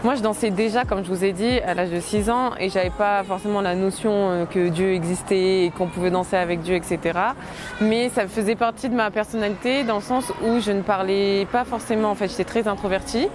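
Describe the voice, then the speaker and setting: A woman in her late twenties, a dancer, talking casually and hesitantly in an interview, with many fillers and soft laughs. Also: medium pitch, steady tone, fairly quick, noisy background. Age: late 20s.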